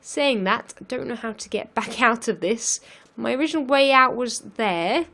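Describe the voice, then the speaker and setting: A young woman hosting a game let's play, chatting and thinking aloud as she builds, her voice a little croaky from losing it. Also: a little high, moderate pace, sharp tone. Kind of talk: casual gameplay commentary.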